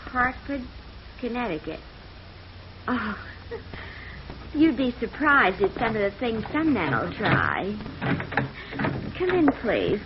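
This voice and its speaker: A woman's voice: on the high side, very animated, slow, pauses often. An actress playing a polite, wary young showgirl in an old radio drama, genteel and hesitant. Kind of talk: 1950s radio drama acting. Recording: muffled old radio recording, faint noise.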